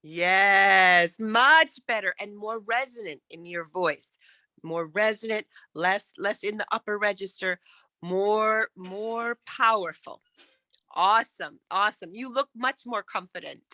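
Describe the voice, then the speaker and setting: Slow, bright-voiced woman coaching speakers. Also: mid-range voice, no room sound. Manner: encouraging, demonstrative, emphatic. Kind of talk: interactive vocal coaching lesson.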